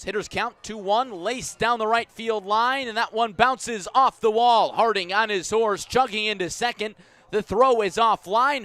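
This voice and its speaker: An American man doing live radio play-by-play of a baseball game, measured in his narration and raising his voice for big plays. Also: high-pitched, pushed and bright. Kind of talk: live sports commentary.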